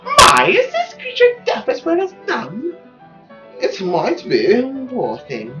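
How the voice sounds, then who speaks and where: A man's voice: very high-pitched, wildly swooping pitch, takes it slowly, reverberant, lo-fi sound, loud music behind the voice, voice pushed up, exaggerated voice. A man voicing a haughty, mocking aristocratic lady with an affected, condescending drawl in a visual novel.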